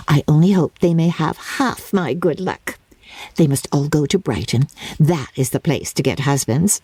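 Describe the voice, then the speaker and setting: A woman's voice: fairly low pitch, dark tone, no echo, pitched above the performer's own voice. A woman voicing a giddy, boastful young newlywed who gushes in self-satisfied exclamations, in a storybook reading. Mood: gushing, self-satisfied. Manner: gushing, breathless exclamations, giggly.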